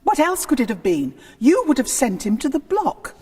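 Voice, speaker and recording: In a reverberant hall, a woman reads as an ambitious British lady of the Tudor court, firm and unforgiving. The voice is slightly husky, in a historical storybook telling. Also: high voice, sing-song, moderate pace, darkish tone, pitched above the performer's own voice, exaggerated voice. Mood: firm, insistent. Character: ambitious, unforgiving young Tudor queen-to-be, insistent.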